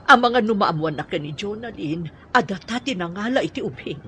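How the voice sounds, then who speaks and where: A woman's voice: mid-range voice, animated, fairly quick, sharp tone, slight background noise. A woman with a Filipino accent playing a watchful, plotting confidant in a radio drama. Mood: insistent.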